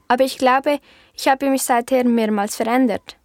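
A woman's voice: sits high, fairly even intonation, normal speed, a little mellow, dry recording, clean studio sound, lightly acted. A woman playing a confused, apprehensive girl, speaking hesitantly and earnestly in a literary audio drama.

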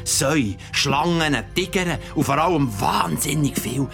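A man's voice: fairly high pitch, a little slow, gravelly. A man with a Swiss German accent playing a father who tells his child a creepy bedtime story, theatrical and suspenseful. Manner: theatrical, suspenseful, sometimes whispering. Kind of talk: audio drama storytelling. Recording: music behind the voice. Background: music.

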